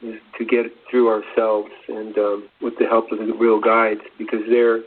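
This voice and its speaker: A man co-presenting an unscripted spiritual talk, halting and searching for his words, on a dull lo-fi recording. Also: fairly low pitch, completely flat delivery. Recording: dull lo-fi radio sound, faint noise.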